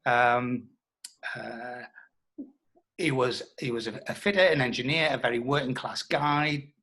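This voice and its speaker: A middle-aged man being interviewed as a writer, speaking reflectively and haltingly. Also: medium pitch, bright, forceful tone. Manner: thoughtful, halting delivery. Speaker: interviewed writer who adapted his father's stories.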